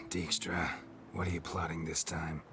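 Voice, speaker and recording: An actor playing a stoic, world-weary monster hunter in a game, gravelly, hushed and deadpan. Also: male voice, sits low, slow, background noise. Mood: dry, weary. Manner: terse, deadpan, clipped. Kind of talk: understated video game dialogue. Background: background music.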